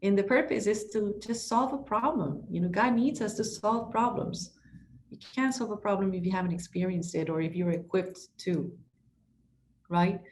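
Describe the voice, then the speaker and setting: A woman's voice: mid-range voice, normal speed, slight background noise. A middle-aged woman from Ecuador, talking as a podcast guest in a passionate, emphatic way, full of restarts and "you know"s. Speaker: podcast guest, real estate broker. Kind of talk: conversational interview.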